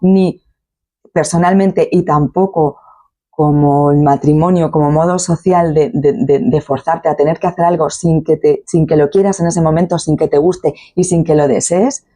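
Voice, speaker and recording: A woman's voice: low voice, steady tone, moderate pace, warm tone. A woman with a Manchego Spanish accent, co-hosting a casual podcast conversation and making her points emphatically. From Castilla-La Mancha, Spain. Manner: emphatic, agrees constantly while the other talks. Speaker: podcast co-host.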